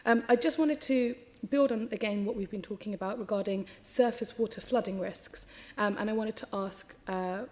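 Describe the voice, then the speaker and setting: A woman assembly member with a slightly croaky voice, putting long, winding questions to a panel. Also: mid-range voice, normal speed, a little mellow. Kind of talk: committee questioning.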